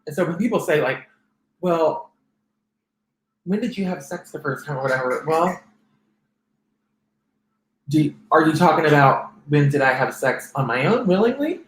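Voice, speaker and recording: A man in his thirties with a soft, feminine-sounding voice, telling his life story as an interview guest, with frequent restarts. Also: a little high, expressive, normal speed, long pauses, roomy sound, slight background noise, low-quality recording. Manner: rambling, many restarts and fillers. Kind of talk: personal testimony in conversation.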